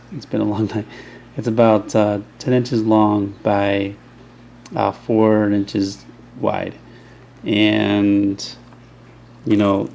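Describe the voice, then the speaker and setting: Low, gravelly man explaining a build. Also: darkish tone. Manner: rambling, many ums and restarts. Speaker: hobbyist showing a speaker crossover build. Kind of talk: casual off-the-cuff tutorial. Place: indoor workbench. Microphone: camera mic, behind the camera.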